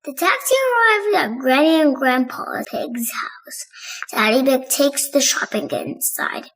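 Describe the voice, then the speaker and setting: High-pitched woman reading to children, unhurried. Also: big pitch swings, a bit bright. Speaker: storybook reader and host. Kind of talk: children's read-aloud narration. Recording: clear background noise.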